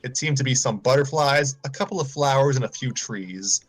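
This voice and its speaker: A man's voice: medium pitch, moderate pace. A man narrating a story aloud informally, sometimes stumbling and repeating phrases. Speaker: story reader on a livestream.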